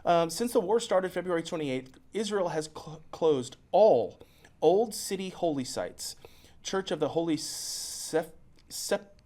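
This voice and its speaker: Man reading news notes, halting. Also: fairly high pitch, expressive, warm tone. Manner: stumbles over place names, halting reader. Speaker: podcast co-host. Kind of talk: casual podcast chat, reading news notes aloud.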